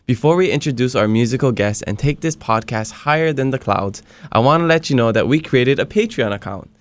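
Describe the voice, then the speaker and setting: A man's voice: medium pitch, animated, brisk. A man from Hilo, Hawaii, whose first language is Hawaiian, hosting a casual podcast interview and laughing often. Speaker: podcast host.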